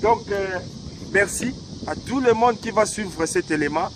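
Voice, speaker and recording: Congolese man, high voice, earnest and halting, filmed outside with loud traffic behind. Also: animated. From Democratic Republic of the Congo. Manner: earnest, hesitant, many fillers and restarts. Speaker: vlogger giving political commentary. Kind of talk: casual outdoor talk to camera. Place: outdoors by a small lake in a city. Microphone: camera held by a cameraman. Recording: outdoor video with loud traffic noise.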